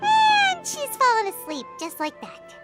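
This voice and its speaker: A woman voicing a tiny, childlike, curious and excitable fairy companion in a video game, with a squeaky voice. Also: a little slow, over loud music, full character voice. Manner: refers to self in third person, exclamatory.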